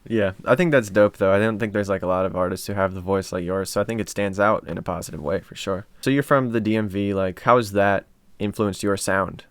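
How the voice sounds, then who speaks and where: Fast-talking low-voiced male host. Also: steady tone. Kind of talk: casual interview chat.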